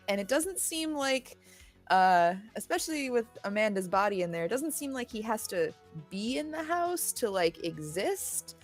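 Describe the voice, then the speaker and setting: A woman playing a tabletop game, chatting informally and somewhat hesitantly as she recounts things in character. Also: mid-range voice. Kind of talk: casual chat, in-game recounting.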